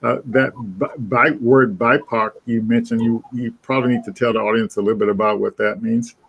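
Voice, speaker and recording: A man hosting a webinar, talking in a halting way with many restarts and repeated words. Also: mid-range voice, steady tone, gravelly, fairly bright tone, breathy edge, rough recording. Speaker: host.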